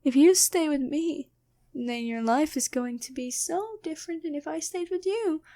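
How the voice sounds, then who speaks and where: A woman's voice: high voice, moderate pace, warm tone, voice pushed up. A woman voicing a taunting female demon in a possessed girlfriend's body, teasing and coolly bargaining in an ASMR fantasy roleplay. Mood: teasing, coaxing.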